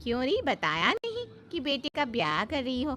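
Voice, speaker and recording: A woman's voice: high voice, wildly swooping pitch, moderate pace, a bit bright, slight background noise, full character voice. A woman voicing a nosy, meddling friend in a cartoon story, gossipy and goading. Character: nosy, meddling neighbourhood friend of the mother-in-law. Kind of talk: cartoon moral-story dialogue.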